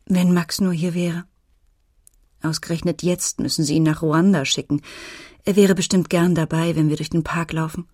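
A woman's voice: fairly low pitch, steady tone, normal speed, a little mellow, dry recording, clean studio sound, natural voice. An actress playing a worried, weary but firm middle-aged mother, acting and narrating in a radio drama. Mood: concerned, firm.

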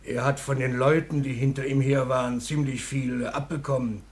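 An actor playing a deferential, earnest police constable in a 1950s radio drama. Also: male voice, medium pitch, fairly even intonation, moderate pace, close to an everyday voice.

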